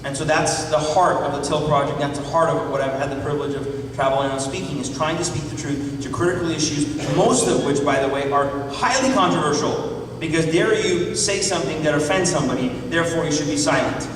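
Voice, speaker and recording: A man's voice: on the high side, fairly quick, a little hiss or hum. A man from Iran, a pastor, giving an emphatic political speech to a live audience and firing rhetorical questions at the crowd. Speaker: pastor and public speaker. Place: large meeting hall. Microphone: distant mic in the room. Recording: echoey hall recorded from far off.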